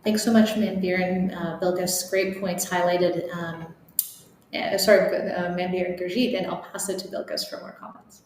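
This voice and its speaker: Flat-toned woman moderator in a very echoey room. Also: on the low side, normal speed. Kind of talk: moderating a panel. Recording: very echoey room with faint noise.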